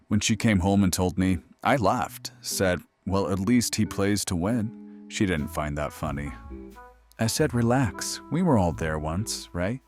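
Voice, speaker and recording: An actor playing a wry, deadpan, sardonic host of a late-night horror radio show. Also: male voice, deep voice. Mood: dark, storytelling. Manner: deadpan, sarcastic.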